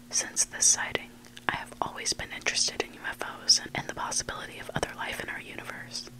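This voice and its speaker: A person reading stories aloud in a breathy, hushed ASMR whisper, close to the mic. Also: low-quality recording. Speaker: host reading listener-submitted stories. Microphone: close mic, made for headphones.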